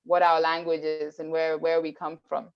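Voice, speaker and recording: Woman host from Kenya, low-pitched, reflective, dull lo-fi call audio. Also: completely flat delivery, moderate pace, smooth. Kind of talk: casual panel chat.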